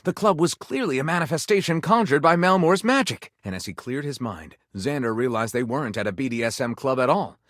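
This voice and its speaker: A man plays an overwrought male game narrator spinning lurid fantasy tales. His pitch sweeps widely through theatrical, suspenseful phrases in a scripted storytelling style. The sound is dry, with hardly any room to it. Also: lively intonation, fairly quick, hardly any pauses, fairly bright tone, no echo, natural voice. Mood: melodramatic.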